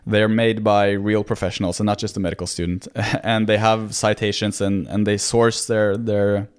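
A man's voice: fairly low pitch, little pitch movement, normal speed, clear, resonant tone. A young man in his twenties with a Norwegian accent, a non-native English speaker, talking earnestly and modestly as a guest in a casual interview. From Norway. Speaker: podcast guest, medical student.

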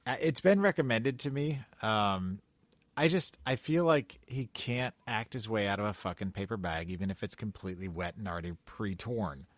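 A man's voice: on the low side, expressive, normal speed, dry recording. A man in casual podcast chat about a movie, blunt and exasperated, swearing freely. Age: adult. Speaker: podcast co-host.

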